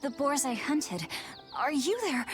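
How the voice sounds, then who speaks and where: A woman voicing an earnest, spirited teenage village huntress in a video game, with expressive delivery. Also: high voice, a little slow, music in the background. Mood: brisk, musing.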